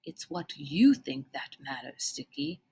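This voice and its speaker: A woman storyteller plays an older gentleman mentor from a children's storybook, kindly and formal. The phrasing is deliberate and old-fashioned, with a lively, varied melody. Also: mid-range voice, husky, pitched below the performer's own voice, full character voice. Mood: gentle, reassuring.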